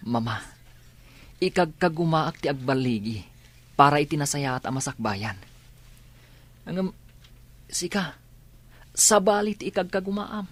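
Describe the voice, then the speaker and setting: A man's voice: fairly high pitch, expressive, unhurried, long pauses, fairly bright tone. An actor playing an earnest young student son who worries about his mother, in a radio drama. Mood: pleading. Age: young adult.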